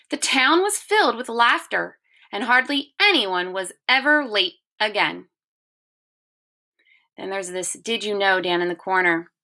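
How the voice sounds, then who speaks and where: A woman in her thirties speaks as a teacher to young pupils. Her voice is bright and a little high, and she takes her time, phrasing things simply and deliberately as she reads and explains. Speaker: second-grade teacher. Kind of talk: reading a children's story aloud and explaining the questions to pupils.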